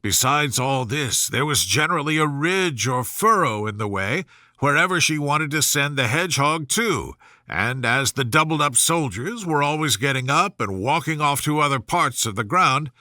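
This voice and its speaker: A man reads a children's story as narrator, expressive and animated. His voice is fairly high and very bright, recorded close and dry. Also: no echo.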